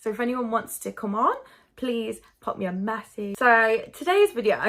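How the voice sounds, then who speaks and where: A woman's voice: mid-range voice, fairly bright tone. A woman vlogger with a stuffy, bunged-up nose gives frank, chatty commentary on clips, full of fillers. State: stuffy nose from hay fever. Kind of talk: casual commentary reacting to clips.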